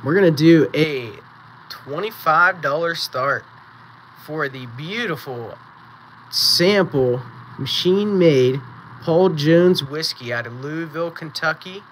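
A man hosting a live-streamed auction, chatting casually and counting down bids, with a voice going raspy. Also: fairly high pitch, a bit bright, slight background noise, rough recording. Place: small indoor photo booth setup.

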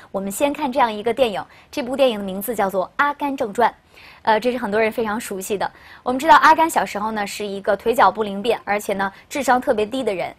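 A woman's voice: a little high, a bit bright. A young woman teacher presenting a lesson to camera, explaining to learners and stressing key phrases. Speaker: English teacher. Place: indoor studio.

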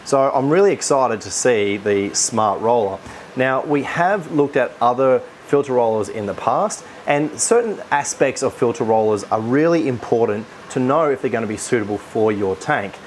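A man's voice: medium pitch, lively intonation, moderate pace, a little hiss or hum. An Australian man hosting a product unboxing. He talks with enthusiasm and often restarts his sentences with fillers. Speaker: aquarium shop host.

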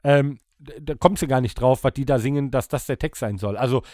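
A man's voice: medium pitch, fairly quick, warm tone, dry recording, studio quality. A man chatting casually as a podcast co-host, blunt and joking, with plenty of slang. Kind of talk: casual chat.

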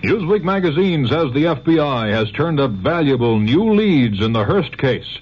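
A man's voice: a little high, big pitch swings, moderate pace, husky. An American man anchoring scripted network radio news. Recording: dull, hissy 1970s radio recording.

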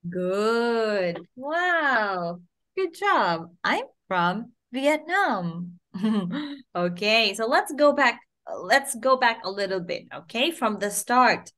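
A woman in her twenties from the Philippines, teaching English to a child over a video call and modelling phrases slowly in a sing-song way. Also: a little high, big pitch swings, a bit bright. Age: 20s.

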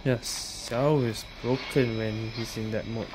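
Very low-voiced woman gaming. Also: fairly even intonation, slow, background noise. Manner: offhand, short reactions. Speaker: game streamer. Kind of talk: casual gameplay commentary. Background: game music.

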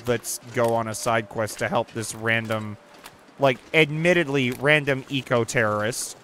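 A young man giving casual, chatty commentary over a survival game, reacting out loud and swearing now and then. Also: mid-range voice, steady tone, a little slow, faint music behind. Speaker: gaming channel host. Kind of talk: casual let's-play commentary. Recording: faint game ambience behind.

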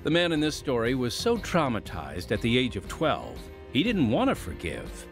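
A man's voice: medium pitch, huge pitch swings, normal speed, soft background music. A man reading scripted narration and announcements as the host of an audio drama podcast.

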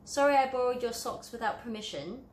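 A woman's voice: a little high, brisk, pitched above the performer's own voice, close to an everyday voice. A woman voicing an apologetic giraffe from a children's storybook. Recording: roomy echo, light background noise.

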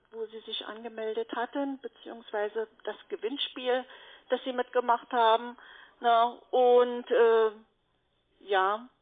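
A woman working as a call centre agent, speaking politely but hesitantly and evasively in an unscripted phone call. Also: on the high side. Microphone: telephone. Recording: narrow-band phone line.

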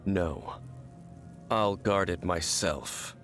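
A man playing a stern, brooding, mysterious young swordsman in a fantasy game, speaking in a grave, measured, aloof way. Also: sits low, a bit bright, soft background music, heavily acted. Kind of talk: dramatic fantasy video game acting.

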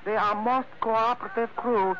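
Man playing a high-voiced, flowery radio henchman. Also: fairly even intonation, normal speed, close to an everyday voice. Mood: smooth, polite menace. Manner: obsequious, ornate broken English. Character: smiling, sly, flowery-spoken Chinese henchman with a gun. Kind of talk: 1940s radio adventure acting. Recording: noisy, narrow vintage radio recording.

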